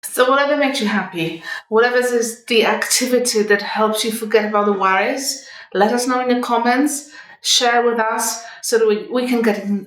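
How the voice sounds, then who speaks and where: A woman's voice: fairly high pitch, a bit bright. Woman in an echoey room, distant mic. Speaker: communication coach and vlog host. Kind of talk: advice vlog to viewers.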